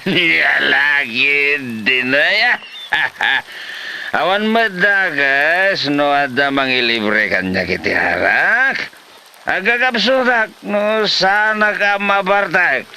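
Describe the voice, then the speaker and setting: Guy acting lazy boozer husband, slow drawl, very piercing clear voice, bragging, comedy drama. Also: on the high side, animated, sharp tone, a little hiss or hum, exaggerated voice. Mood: cheerfully defiant. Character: lazy, boastful, hard-drinking husband, cheerfully defiant.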